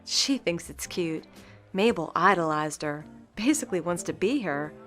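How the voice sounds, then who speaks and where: Lady doing a gossipy worldly hollywood date, lower voice, radio play style, faint music. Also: animated, moderate pace, fairly bright tone. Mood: knowing, wry. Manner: breezy, gossipy, knowing. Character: chatty, worldly Hollywood party date, a woman. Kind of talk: old-time radio drama acting.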